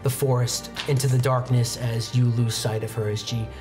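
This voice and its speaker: A man's voice: mid-range voice, flat delivery, moderate pace. Suspenseful young male game master over music. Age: young adult. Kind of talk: dramatic horror narration for a tabletop roleplaying game. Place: indoor studio game set at a desk. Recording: music playing behind the voice. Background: background music.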